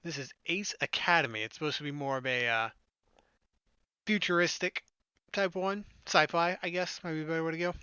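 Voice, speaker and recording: A man's voice: on the high side, a little fast, bright, forceful tone, smooth, no echo, highs cut off. A man hosting a casual let's-play, reading game text aloud and adding joking, sarcastic asides. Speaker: gaming video host. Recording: game music behind the voice.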